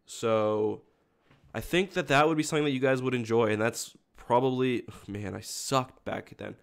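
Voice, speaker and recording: A man's voice: mid-range voice, normal speed, creaky, clear, resonant tone. A man with a congested voice from a cold, chatting casually to livestream viewers in a rambling, self-correcting way. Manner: rambling, self-correcting, stop-start phrasing. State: has a cold, sniffly congested voice. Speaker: video creator hosting a livestream.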